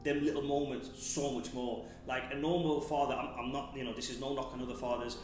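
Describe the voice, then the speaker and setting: A man in his thirties, a gym owner chatting relaxed as an interview guest, often restarting and repeating words. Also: mid-range voice, a little fast. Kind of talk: casual interview chat. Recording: very echoey room, faint music.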